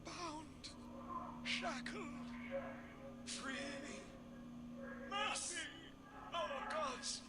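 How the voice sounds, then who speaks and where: A man voicing the tormented trapped soul of a slain monk in a video game, begging in halting, broken words. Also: extremely high pitch, exaggerated voice. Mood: anguished. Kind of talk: scripted video game character acting. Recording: loud noise over faint voice.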